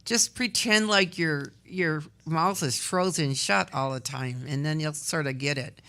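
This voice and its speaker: A woman's voice: low-pitched, takes it slowly, fairly bright tone. A woman from Wisconsin representing a community theatre in a casual radio interview, talkative and laughing often. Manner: talkative, laughs often, trails off mid-sentence.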